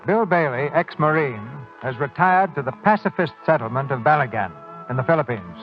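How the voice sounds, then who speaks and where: A man's voice: mid-range voice, lively intonation, a little fast. A man presenting a radio drama in scripted 1940s narration style. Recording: dull 1940s radio broadcast, music behind.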